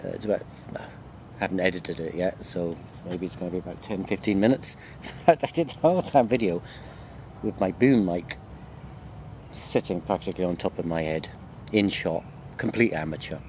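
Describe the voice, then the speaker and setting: A man's voice: deep voice, darkish tone, slight background noise. A man lecturing informally to his students in a walking video chat, self-deprecating and conversational, rambling as he goes. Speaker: university lecturer.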